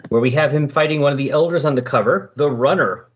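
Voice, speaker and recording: A man with a slightly husky voice in casual, rambling, enthusiastic conversation as a podcast host. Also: on the high side, quick pace. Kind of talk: casual chat about comic books. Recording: dull, lo-fi recording.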